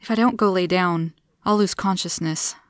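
A woman's voice: on the low side, moderate pace, no echo, clean studio sound, lightly acted. A woman voicing a friendly, candid, easily tired woman with an earnest, emphatic manner in a storybook. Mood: apologetic, resigned. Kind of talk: storybook character voice.

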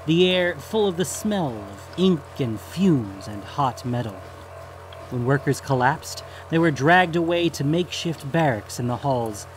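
A man reading scripted fantasy fiction as the narrator of an audio story. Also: a little high.

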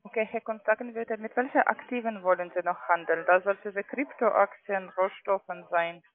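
A man's voice: sits high, steady tone, moderate pace. A non-native speaking man working as a call centre agent, making a sales call in ungrammatical phrasing. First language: not German. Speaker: call centre agent selling trading accounts. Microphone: phone call. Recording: narrow muffled telephone line.

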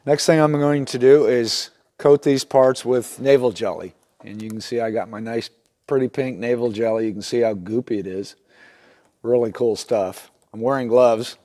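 A man's voice: medium pitch, a little mellow. Middle-aged man giving a hands-on demonstration. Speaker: instructor in a how-to repair video. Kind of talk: casual hands-on demonstration talk. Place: small wooden workshop at a workbench, indoors.

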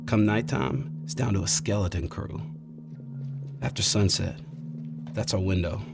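A man's voice: fairly low pitch, measured pace, creaky, loud music behind the voice. A man playing a wary but friendly young protective brother in a video game, casual and streetwise. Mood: grim, frustrated. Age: young adult. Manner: casual, streetwise, salty-tongued. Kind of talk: naturalistic video game cutscene acting.

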